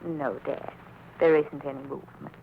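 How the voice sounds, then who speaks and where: An actress playing a patient, doubtful grown daughter with a gentle, pleading manner in a 1940s radio drama. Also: female voice, sits low, fairly even intonation, normal speed, creaky. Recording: muffled old radio recording, faint hiss.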